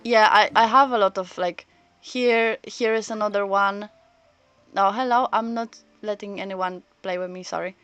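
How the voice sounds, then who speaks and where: A woman's voice: medium pitch, steady tone, smooth. Woman streamer rambling over game music. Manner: rambling, halting, thinking out loud. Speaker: live streamer. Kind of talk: casual chat while playing a story game.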